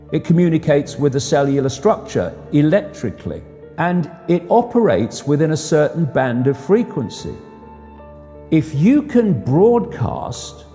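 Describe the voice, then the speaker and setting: A man's voice: mid-range voice, dark tone. A man giving an explanatory talk in a conversational way, repeating words for emphasis. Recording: long reverb, music underneath. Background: music.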